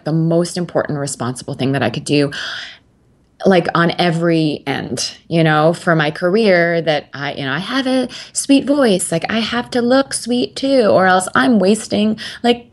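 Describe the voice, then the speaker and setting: A woman in her twenties talking candidly as an interview guest, chatty and rambling with many fillers and restarts. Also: lowish voice.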